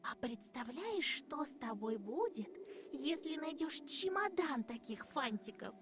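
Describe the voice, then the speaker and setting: A woman's voice: high-pitched, unhurried. Teasing fox girl. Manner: playful, teasing, lively. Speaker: game character. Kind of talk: game voice acting. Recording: game audio with clear music behind.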